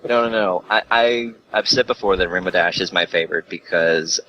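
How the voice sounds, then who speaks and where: A man's voice: low-pitched, normal speed, highs cut off, slight background noise. An American man chatting casually on a group podcast in an earnest, hedging way. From Chicago, United States. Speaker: online cartoon reviewer and podcast guest.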